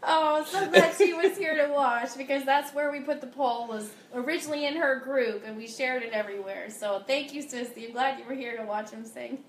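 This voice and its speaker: A woman's voice: sits high, a little fast. A woman co-hosting a casual livestream from the sidelines, enthusiastic and gushing. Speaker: off-camera co-host. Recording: roomy, noisy, off to the side.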